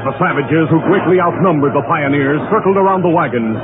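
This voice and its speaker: A man's voice: fairly high pitch, fairly quick. A man narrating a scripted adventure drama in a dramatic 1930s radio style. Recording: tinny old radio recording with music.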